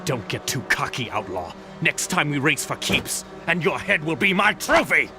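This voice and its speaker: An actor playing an arrogant, taunting racing rival villain in a video game. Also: male voice, on the high side, big pitch swings, moderate pace, loud music behind the voice. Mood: threatening. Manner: sneering, threatening.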